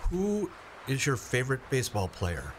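A man's voice: medium pitch, wildly swooping pitch, normal speed. A man playing an awkward, well-meaning family fisherman in a radio play, hesitant and plainspoken, over noisy ambience. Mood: awkward, trying. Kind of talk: naturalistic radio play acting. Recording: noisy ambience with vehicle sounds.

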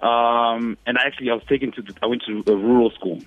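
A man's voice: medium pitch, fast, dry recording. A man in his thirties from Zimbabwe, a radio interview guest talking casually with lots of fillers and restarts. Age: 30s. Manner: relaxed, many fillers and restarts. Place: radio studio. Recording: dry, phone-like radio studio sound.

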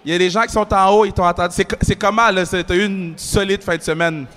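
A man co-hosting a live podcast with a Québécois French accent, excitable and loud, with frequent exclamations. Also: sits high, fast, in a large hall. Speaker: podcast co-host. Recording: live show with crowd and music.

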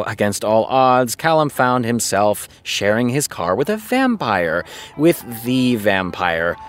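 A man's voice: fairly low pitch, lightly acted. A man acting in a fiction podcast as an exasperated, sarcastic TV creator who reads fan fiction aloud. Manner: sardonic, muttering asides between read passages.

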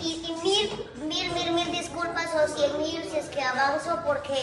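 A woman's voice: very high-pitched, fairly even intonation, normal speed, echoey room, far from the mic, a crowd in the background. A woman social media personality leaving a gushing, rambling, apologetic message for her followers. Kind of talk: casual video message.

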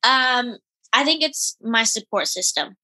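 Young woman in her twenties, slightly high voice, bright tone, giggly and relaxed. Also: a little slow. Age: 20s. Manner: relaxed, giggly, filler-heavy. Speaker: guest, elite gymnast. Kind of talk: casual interview chat.

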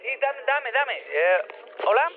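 A man playing an excitable, scatterbrained inventor who rambles, stammers and repeats himself over a phone link. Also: extremely high pitch, slow, heavily acted. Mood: eager, hurried. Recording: narrow phone-like radio link.

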